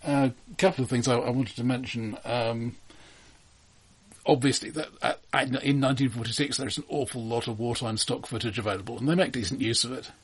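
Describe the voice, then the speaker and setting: A man's voice: mid-range voice, fairly even intonation, brisk, husky. A man co-hosting a casual film discussion with a dry, argumentative manner. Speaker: podcast co-host discussing films.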